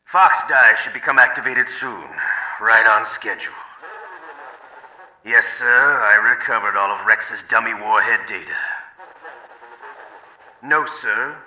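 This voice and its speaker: A man's voice: fairly low pitch, pushed and bright, a little hiss or hum, exaggerated voice. An actor playing a sly, deferential secret agent in a video game, reporting to his superior in clipped, conspiratorial phrases. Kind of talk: dramatic video game voice acting, one-sided call. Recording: roomy echo.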